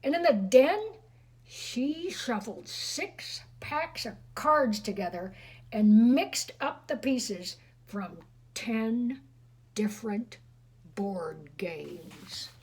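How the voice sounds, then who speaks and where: A woman's voice: fairly high pitch, animated, drawn-out words, a little mellow, husky. A woman reading a storybook aloud expressively to children, with chatty, teasing asides to her listeners.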